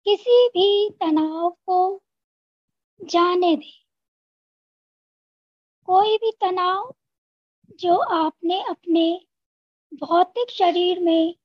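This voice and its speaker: A woman with an Indian accent narrating a scripted guided meditation, giving gentle instructions in short phrases with long pauses. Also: very high-pitched, no echo, lo-fi sound.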